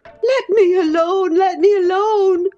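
Woman reader doing a dying young lady, high and breathy, all longing and pleading, storybook style. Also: slow pace, mellow, muffled recording, soft background music. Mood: sobbing, pleading. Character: feverish dying young woman, passionate and yearning.

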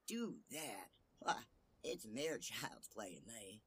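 A man's voice: fairly low pitch, expressive, slow, a bit bright, breathy edge, heavily acted. A man voicing a small, boastful tailor hero in a storybook tale, cheeky and exclamatory. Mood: smug. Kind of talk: storybook character voice.

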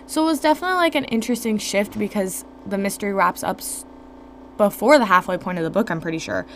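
Young female podcast host, gravelly worn voice, rambling chatty delivery, faint hum behind. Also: medium pitch, fast talker. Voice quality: a little gravelly. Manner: chatty, lots of "like" fillers, expressive. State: voice worn from screaming. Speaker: podcast host reviewing a book. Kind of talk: casual solo podcast talk. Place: home room. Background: faint fridge hum.

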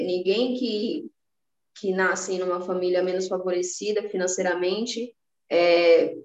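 A woman's voice: medium pitch, a little mellow, roomy sound, rough recording. A woman from Salvador, Bahia, with a Bahian accent, a podcast guest talking about personal finance in an earnest, motivating way, with many restarts. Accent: Bahian Brazilian Portuguese. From Salvador, Bahia, Brazil. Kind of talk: casual interview chat.

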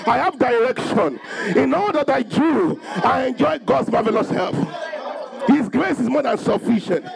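Guy preaching a fired up sermon, really high pitched raspy creaky voice, repeats his lines for emphasis. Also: animated, unhurried, crowd noise, poor audio. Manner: emphatic, declarative, repeating phrases for effect.

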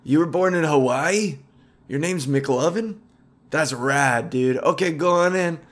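A man's voice: on the high side, unhurried. A man doing a stoned, drawling bar bouncer. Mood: mellow. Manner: drawling, easygoing slacker talk. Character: stoned laid-back male bouncer at a bar.

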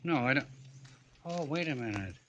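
An actor playing an exasperated, wisecracking husband woken at midnight, in old-time radio drama style. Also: male voice, medium pitch, animated, normal speed, bright, forceful tone. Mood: teasing, puzzled. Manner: wisecracking, grumbling. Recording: muffled old radio recording.

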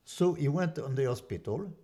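A man's voice: a little high, expressive, normal speed. An older man with a French accent, a French native speaker giving an interview, emphatic and prone to repeating himself. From France. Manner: emphatic, repeats words, drops into quiet asides. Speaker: interview guest. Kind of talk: conversational interview.

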